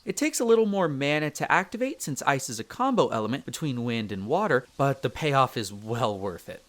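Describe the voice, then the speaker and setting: Man playing a lively, friendly elf explorer. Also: medium pitch, fairly quick. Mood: upbeat, instructive. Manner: easygoing, explanatory, conversational. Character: friendly chatty male elf explorer, eager magic mentor. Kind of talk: roleplay audio drama, addressing the listener directly.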